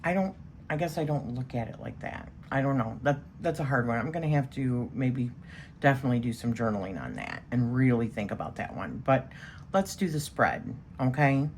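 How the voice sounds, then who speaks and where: A woman's voice: a bass voice, animated, normal speed, a little mellow, slight background noise. A woman with a strong voice, chatting casually and rambling a little as she talks through a tarot spread. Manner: chatty, rambling with many fillers. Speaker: tarot reader. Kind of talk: casual spoken tarot session.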